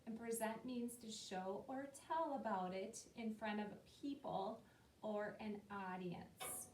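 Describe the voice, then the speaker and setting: A woman teacher explaining key words to young students, slowly and patiently, and asking them questions. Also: a little mellow. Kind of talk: classroom lesson talk to young students. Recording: roomy and distant, faint rumble.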